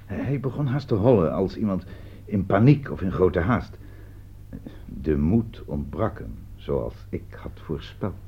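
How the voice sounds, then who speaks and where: An actor playing a genteel, confident uncle in a radio play, speaking formally and deliberately. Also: male voice, deep voice, very animated, husky, full character voice. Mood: measured recounting. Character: genteel uncle, composed, confident male schemer. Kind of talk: radio play acting in Dutch. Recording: dull old radio recording, faint noise.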